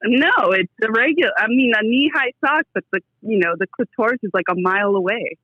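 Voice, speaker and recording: A woman's voice: a little high, fairly quick, no echo. A woman calling in to a comedy podcast, chatting casually in a rambling, joking way over muffled call-in audio. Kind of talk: casual chat. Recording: dull, band-limited call-in audio.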